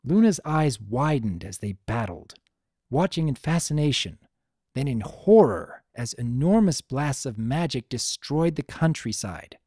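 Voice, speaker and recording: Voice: mid-range voice, big pitch swings, unhurried, darkish tone, slightly rough, dry recording, studio quality. A narrator giving a scripted dramatic reading of a story. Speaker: audiobook-style narrator.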